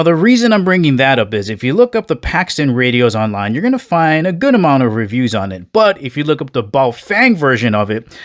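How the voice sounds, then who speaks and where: Male reviewer, mid-pitched, casual and conversational, explaining a product step by step to viewers. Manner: conversational, addresses viewers as guys.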